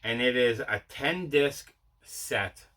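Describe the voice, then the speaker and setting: A man's voice: mid-range voice, slow, fairly bright tone. A man casually explaining items in an unscripted show-and-tell at home, matter-of-fact in manner. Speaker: host of collecting vlog. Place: indoors at home.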